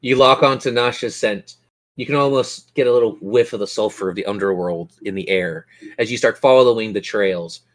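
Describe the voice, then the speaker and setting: A man's voice: on the low side, moderate pace. A man narrating a tabletop role-playing game as game master, with theatrical, descriptive storytelling.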